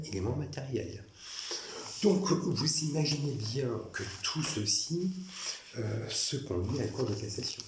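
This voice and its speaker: A man's voice: on the low side, echoey room, a little hiss or hum. A man from France giving a rambling, insistent monologue to camera, repeating and restarting his phrases as he recounts his grievances. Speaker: former prison guard recounting grievances.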